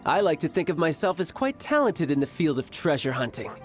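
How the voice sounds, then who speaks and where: Smooth, confident young aristocrat, mocking and lilting, over soft game music. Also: male voice, fairly high pitch, very animated, fairly quick. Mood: playfully confident. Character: smug charming young male noble fighter. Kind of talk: game voice acting.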